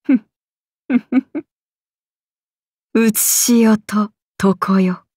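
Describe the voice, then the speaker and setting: Slow-spoken, imperious serpent goddess. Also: female voice, a little high, dark tone, no echo, clean studio sound. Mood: menacing, gleeful. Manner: archaic, imperious, languid speech with slow deliberate phrasing. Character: haughty, amused serpent-god oni woman, ancient and predatory. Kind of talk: Japanese game character voice lines.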